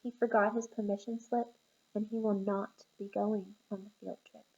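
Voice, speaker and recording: A woman voicing a calm, patient female teacher who speaks slowly and reassuringly, on a slightly dull recording. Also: mid-range voice, lightly acted. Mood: soothing. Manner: slow, soothing, reassuring.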